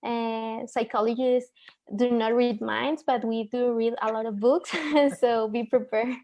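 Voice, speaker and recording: Spanish-accented woman, flat earnest delivery. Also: on the high side, unhurried. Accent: Mexican Spanish. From northern Mexico. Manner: earnest, reflective, some hesitant restarts. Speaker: panelist. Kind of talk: webinar panel discussion.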